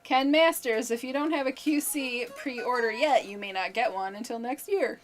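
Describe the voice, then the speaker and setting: A woman's voice: fairly high pitch, moderate pace, rough recording. A woman co-hosting a livestream, casually reading viewer comments aloud and greeting people. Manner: upbeat, reads out chat comments and greets viewers. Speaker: co-host reading viewer chat. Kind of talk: casual chat.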